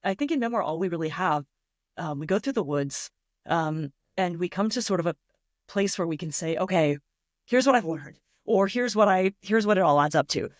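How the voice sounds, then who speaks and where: A woman's voice: on the low side, animated, moderate pace, dry recording. A woman talks as a guest writer in an interview. She is reflective and enthusiastic, working through ideas with imagined examples. The sound is dry, with hardly any room on it. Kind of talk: thoughtful interview talk.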